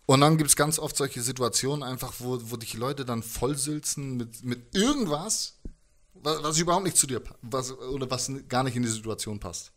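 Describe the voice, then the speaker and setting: A man in his thirties talks casually as an interviewed musician. His voice sits at a medium pitch, and he tells stories in slangy, conversational German-style phrasing with asides. He speaks into a handheld microphone indoors. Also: moderate pace. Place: living room, indoors.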